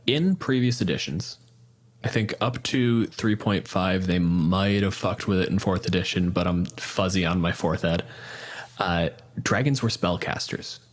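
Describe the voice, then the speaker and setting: A man co-hosting a podcast, explaining tabletop game rules in a blunt, profane, casual way. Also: sits low. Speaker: podcast co-host.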